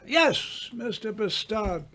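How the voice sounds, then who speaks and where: Man acting worldly older writer, old-time radio mystery, high-pitched for a man, lively intonation, grandiose, teasing, self-assured. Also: exaggerated voice. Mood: grandly commanding. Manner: grandiloquent, deliberate, dramatic pauses.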